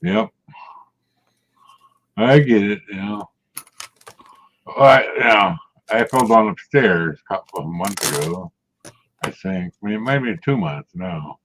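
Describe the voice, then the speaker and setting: A man's voice: on the low side, expressive, measured pace, long pauses, a bit bright. A man in his sixties, chatting casually and telling rambling stories with frequent chuckles. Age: 60s. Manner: rambling storyteller, chuckles often. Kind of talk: casual chat. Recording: muffled lo-fi audio.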